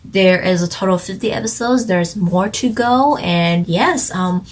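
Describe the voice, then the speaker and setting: A woman's voice: mid-range voice, a little slow. A woman chatting casually as she reviews a drama, rambling and speculating with lots of fillers. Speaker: drama reviewer, channel co-host.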